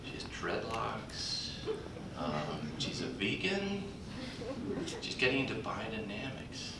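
An older man speaking at a podium in a large conference hall, introducing a colleague in a folksy, halting way with pauses. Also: huge pitch swings. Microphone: podium mic, recorded from afar by a computer. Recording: distant echoey hall recording with background rumble.

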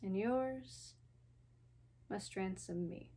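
A young woman reciting classical verse as a dramatic performance, deliberate and theatrical, with weighty pauses. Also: mid-range voice, warm tone, breathy edge. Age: young adult. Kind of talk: dramatic recitation of a Shakespeare sonnet. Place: small home room, indoors.

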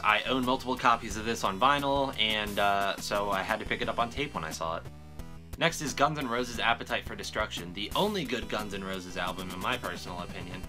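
A man's voice: lowish voice, bright, forceful tone. A young man talking casually to camera in a show-and-tell style, informal and given to swearing. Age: young adult. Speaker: vlogger showing a music collection. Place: small room at home, indoors.

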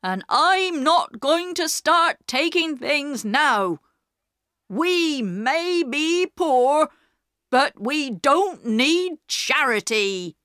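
Storybook role: proud poor father, gruff and emphatic, played by a woman in a raised, slow voice. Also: sits high, very animated, fairly bright tone, no echo, studio quality, pitched above the performer's own voice, exaggerated voice. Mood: angry, proud. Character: proud, gruff working-class father, poor but honest. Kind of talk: storybook character reading.

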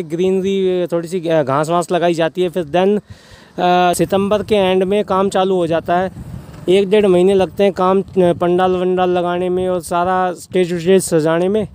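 A man's voice: sits high, level tone, measured pace, a little mellow, clear, resonant tone. A man in his twenties from Haryana, India, talking casually to camera as a hitchhiking travel vlogger. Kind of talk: casual vlog narration to camera. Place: outdoors on bridge and highway, later in a car. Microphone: phone or camera at arm's length. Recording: noisy outdoor roadside and vehicle noise.

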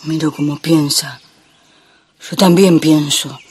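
A man's voice: fairly high pitch, fairly even intonation, takes it slowly, husky. A man playing a brooding, melancholy husband in a poetic theatrical monologue. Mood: brooding, insistent. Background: music.

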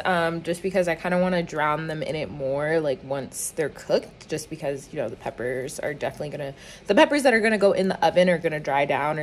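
Young woman in her twenties, home cooking vlogger; lowish voice, self-mocking and rambling, phone-recorded in a quiet kitchen. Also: slight background noise. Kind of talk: casual cooking vlog. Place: small home kitchen and apartment room.

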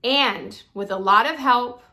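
A woman's voice: on the high side, slow pace. A woman in her thirties reading a children's picture book aloud expressively, speaking to young listeners. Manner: expressive, acts out dialogue, addresses young listeners. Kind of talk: children's storytime read-aloud. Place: bright room by a window.

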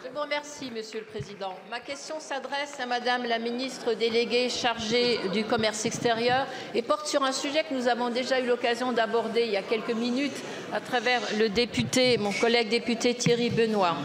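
Older woman reading a question in a chamber. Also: fairly high pitch. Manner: measured, reading aloud from a sheet. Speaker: member of parliament asking a question. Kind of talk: formal parliamentary question read from paper. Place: large parliament chamber. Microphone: gooseneck desk mic. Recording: roomy echo with long hall reverb.

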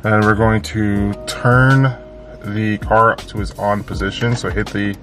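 A man's voice: on the low side, steady tone, measured pace, a bit bright, faint music behind. A man hosting a car channel, talking casually step by step through a tutorial with lots of fillers, recorded inside a parked car. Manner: casual, repeats steps, many fillers.

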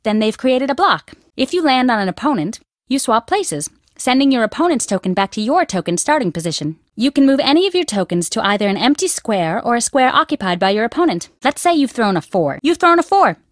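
A woman's voice: a little high, big pitch swings, fairly quick, no room sound, studio quality. A woman voicing a bossy, competitive character at a dig site who explains a board game. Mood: explaining. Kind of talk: video game voice lines.

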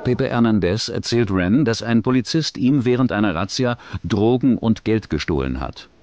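Low-voiced male documentary narrator. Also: expressive, moderate pace, darkish tone, highs cut off, a little hiss or hum. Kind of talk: scripted true-crime narration.

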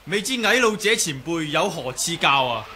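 An actor playing a principled young martial arts hero with a polite, assured, formal manner in a 1980s radio drama. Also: male voice, fairly high pitch, big pitch swings, normal speed, pushed and bright, exaggerated voice. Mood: courteous, reasoning. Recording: noisy old radio drama recording.

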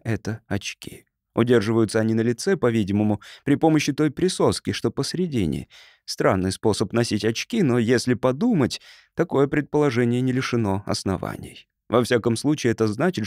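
Мужчина-чтец с живой интонацией. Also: male voice, medium pitch, darkish tone, no echo, clean studio sound. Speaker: audiobook narrator. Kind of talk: expressive scripted first-person story narration.

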